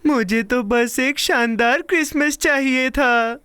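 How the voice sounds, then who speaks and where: A woman voicing an eager, dramatic little boy in a children's cartoon dub, with a childlike, expressive delivery. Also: high-pitched, takes it slowly, clear, resonant tone, dry recording. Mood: disappointed. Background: music.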